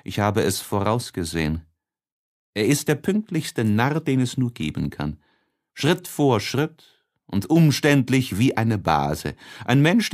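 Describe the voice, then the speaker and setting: A male narrator reads literary prose for an audiobook in a mid-pitched voice. His pace is slow and his phrasing deliberate, with expressive shaping of each sentence.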